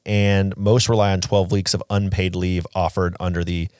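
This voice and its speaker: Low-voiced man chatting on a podcast. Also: little pitch movement, moderate pace, a little mellow, smooth, no echo, studio quality. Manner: conversational, opinionated, peppered with "like". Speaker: podcast co-host. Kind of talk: casual podcast chat.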